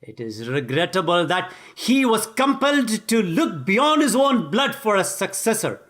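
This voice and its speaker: A man's voice: sits high, sing-song, measured pace, voice pushed up, exaggerated voice. A man whose first language is Tamil, voicing a righteous warrior king who declaims in a commanding way. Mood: measured. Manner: declaiming, forceful.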